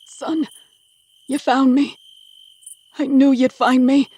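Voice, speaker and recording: A woman voicing a panicked older captive father who shouts and pleads, denying everything. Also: very high-pitched, drawn-out words, voice pushed up, heavily acted. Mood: pleading. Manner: desperate, shouting, protesting.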